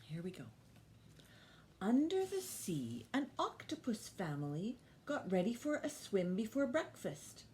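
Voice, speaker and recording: Animated, unhurried woman hosting children's storytime. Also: medium pitch, slight background noise. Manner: simple questions and short phrases addressed to small children. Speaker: storytime host reading to young children. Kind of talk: children's storytime, talk and picture-book read-aloud.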